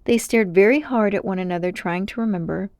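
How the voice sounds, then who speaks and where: A woman reading a children's story aloud as narrator, in an expressive storytelling style. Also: mid-range voice, fairly quick, no room sound, clean studio sound.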